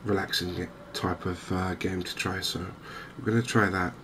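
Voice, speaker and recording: A soft-spoken man giving hesitant, measured ASMR-style commentary as host of a gaming video. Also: sits low. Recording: clear steady background noise.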